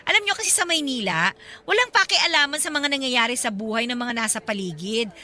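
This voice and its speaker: High, bright, sharp-tongued young mother. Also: lively intonation, fairly quick. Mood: biting. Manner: sarcastic, indignant retorts. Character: defensive young single mother home from Manila, sharp-tongued. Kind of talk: Tagalog radio drama acting. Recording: background music under dialogue.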